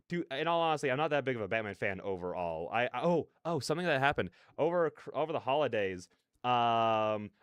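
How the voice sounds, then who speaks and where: A man's voice: mid-range voice, a bit bright, clean tone, dry recording, studio quality. A man hosting a livestream, chatting casually in a rambling, slangy, digressive way. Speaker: livestreamer hosting a reaction stream.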